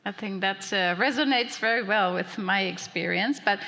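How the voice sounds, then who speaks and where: Female panel moderator, upbeat and brisk, bright tone, reverberant conference hall. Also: mid-range voice, gravelly, slight background noise.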